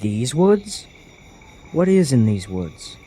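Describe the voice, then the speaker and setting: A man's voice: mid-range voice, big pitch swings, slow pace, mellow, a little hiss or hum. A man playing a cautious, earnest young traveler in a fantasy audio drama, speaking formally as an anxious protector. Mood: wary.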